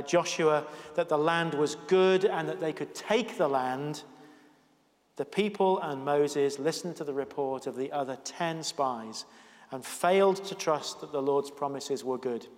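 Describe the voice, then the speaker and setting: A male preacher giving a sermon in a church, chatty with self-deprecating asides. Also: fairly high pitch. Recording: long church reverb.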